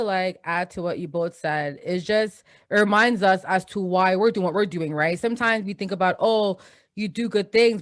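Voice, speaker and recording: A woman's voice: on the low side, no echo, studio quality. A young woman with a Trinidadian accent, co-hosting a podcast in casual, chatty talk. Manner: chatty and upbeat, many fillers. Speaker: podcast co-host.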